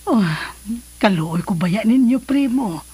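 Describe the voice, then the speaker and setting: Gentle mother of a grown son, husky, fairly high voice, Cebuano Filipino accent, radio drama. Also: expressive, takes it slowly, heavily acted. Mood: tender concern.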